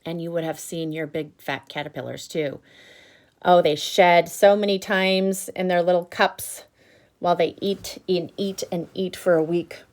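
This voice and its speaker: A woman teacher reading aloud to children, with expressive emphasis and instructive explanatory asides. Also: lowish voice, unhurried. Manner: instructive, checks in with listeners.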